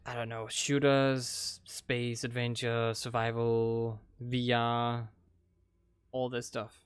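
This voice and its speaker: A man's voice: medium pitch, monotone, slow pace, clean tone, no room sound. A young man with a Danish accent, rambling casually with lots of fillers as he wraps up a game playthrough for his channel. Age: young adult. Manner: rambling, hesitant, with many fillers and restarts. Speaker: gaming channel host. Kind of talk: casual commentary wrapping up a playthrough. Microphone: desk microphone.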